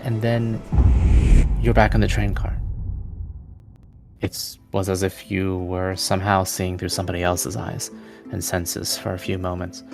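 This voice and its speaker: Guy running a tabletop game, deep gravelly voice, measured storytelling with music under it. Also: level tone. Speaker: game master. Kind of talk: narrating a tabletop roleplaying game.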